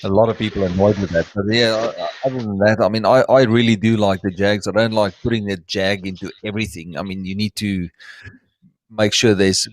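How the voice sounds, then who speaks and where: A man's voice: on the low side, fairly even intonation, fairly quick, no echo. A man with a South African accent and Afrikaans as his first language, chatting casually and wordily as a reptile breeder hosting a show, sometimes searching for his words. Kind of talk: casual unscripted chat.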